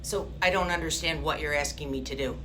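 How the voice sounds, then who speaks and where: Lower-pitched woman, terse on a call. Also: a little fast, pushed and bright. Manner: terse, questioning. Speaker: person receiving a scam phone call. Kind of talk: phone conversation, short questions and answers. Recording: phone call with faint background noise.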